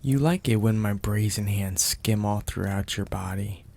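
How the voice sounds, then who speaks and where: A man playing a possessive, domineering mafia boss in an intimate ASMR roleplay, speaking deliberately and with teasing menace in a gravelly, husky, breathy voice. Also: on the low side, a little mellow. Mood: seductive, smug. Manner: slow, deliberate, menacing, florid wording.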